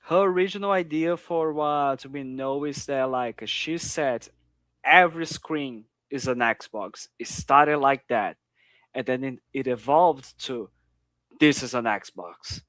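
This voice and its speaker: Slow Brazilian-accented man. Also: mid-range voice, fairly bright tone, no echo. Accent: Brazilian Portuguese. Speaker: podcast panelist. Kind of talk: casual panel chat.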